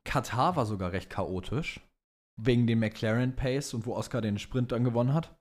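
Slangy young man, mid-pitched voice. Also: moderate pace, darkish tone. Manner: slangy, mixes English phrases into German. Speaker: podcast co-host. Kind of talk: casual banter, answering listener questions.

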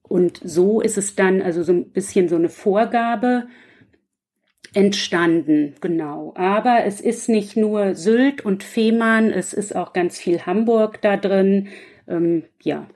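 A woman's voice: mid-range voice, slow pace, mellow. Chatty woman in casual podcast conversation. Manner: chatty, loosely structured, explanatory. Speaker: guest author.

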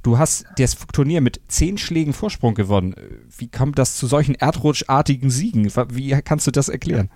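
A man's voice: medium pitch, animated, moderate pace, few pauses, dark tone, no room sound, studio quality. A man hosting a sports podcast, asking fluent, conversational interview questions.

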